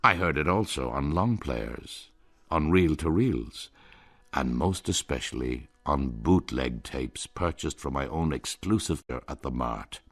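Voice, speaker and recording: A man's voice: a bass voice, animated, measured pace. A man with an Irish accent narrating a radio drama in a wry, ornate storytelling manner.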